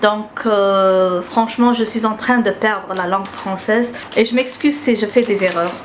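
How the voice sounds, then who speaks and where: A woman from Algeria whose first language is Arabic. She speaks French with an Algerian accent, hosts a cooking channel and walks through a recipe step by step in a gentle, hesitant way with many filler sounds. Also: medium pitch, a little slow, a little hiss or hum.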